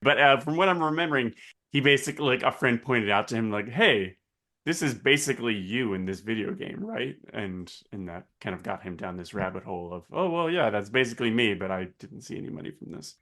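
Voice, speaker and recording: A man's voice: medium pitch, expressive, a little fast, bright, forceful tone. A man co-hosting a podcast in casual chat, recounting things with little acted-out quotes. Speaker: podcast co-host.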